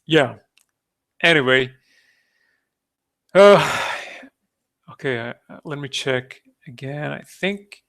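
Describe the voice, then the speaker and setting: Hesitant Croatian man with a gravelly voice. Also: on the high side, a bit bright. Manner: halting, many fillers and restarts. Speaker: digital sculpting streamer. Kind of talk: live tutorial talk. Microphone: close desktop mic, breathing audible.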